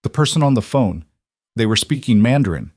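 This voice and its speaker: A man's voice: medium pitch, expressive, a little fast, a little mellow, husky, no room sound, studio quality. A man voicing a shy, nervous young woman who works as a hotel cleaner, talking hesitantly in a raised voice. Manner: timid, hesitant, babbling.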